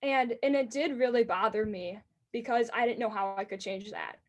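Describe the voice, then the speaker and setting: An eighteen-year-old woman with a high-pitched voice, earnestly answering interview questions about racing announcing. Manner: earnest and enthusiastic, quick bursts.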